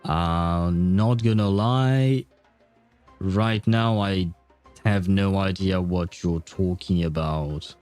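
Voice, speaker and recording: A man's voice: sits low, slow, a little mellow, faint music behind. A man hosting a casual gaming livestream, chatting with viewers in a rambling, hesitant way. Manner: hesitant, rambling, chuckling often. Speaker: livestream host.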